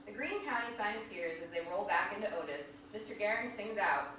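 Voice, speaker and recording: A woman's voice: medium pitch, expressive, fairly quick, sharp tone. A woman reading scripted stage directions as narrator at a staged play reading. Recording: echoey hall, distant mic, faint noise.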